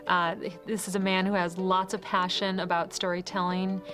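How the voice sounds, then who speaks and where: A woman gives a documentary interview as one of a film's makers. Her voice sits a little low and moves very little in pitch, with music clearly playing behind. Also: flat delivery, normal speed. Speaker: film production staff.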